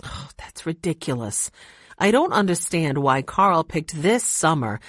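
A woman voicing a brisk, friendly lodge owner. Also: low-pitched, sing-song, a bit bright, slightly rough, dry recording, studio quality, exaggerated voice.

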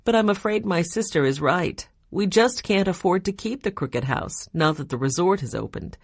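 A warm woman family friend in a children's story, as a female reader plays her. She has a wistful streak and a low-set voice. The recording is dry. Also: expressive, moderate pace, husky, no room sound. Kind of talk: children's audiobook character voice.

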